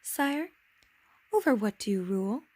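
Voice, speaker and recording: A woman voicing a timid, earnest and curious little boy prince, speaking softly, hesitantly and politely. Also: medium pitch, sing-song, a little slow.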